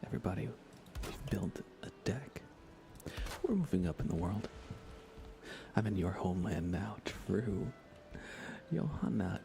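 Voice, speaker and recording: A man softly commentating on a relaxed ASMR gaming livestream, often near a whisper, musing aloud casually with dry humor. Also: lowish voice, gravelly, slight background noise. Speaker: live streamer, host.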